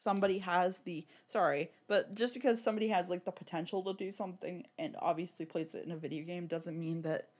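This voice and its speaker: A woman with a slightly low voice talks casually as a podcast co-host. Her phrasing is informal and loose, sprinkled with "like" as she works through a point in discussion. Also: steady tone, fast, hardly any pauses, a little mellow. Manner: informal, peppers talk with "like" and laughs easily.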